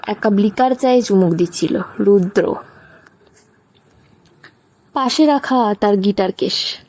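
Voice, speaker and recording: A woman's voice: medium pitch, mellow, no room sound. A woman reading scripted narration for a romantic audio story.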